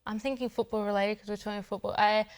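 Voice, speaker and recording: A woman's voice: medium pitch, steady tone, fast talker, smooth. A woman, a footballer guest chatting casually in an interview, hesitant with many fillers and light laughs. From Australia.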